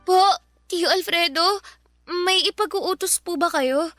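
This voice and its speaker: A timid young niece in a radio drama, her voice very high and bright. She answers meekly and politely, pleading and fearful. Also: measured pace, soft background music. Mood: confused. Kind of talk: classic radio drama acting.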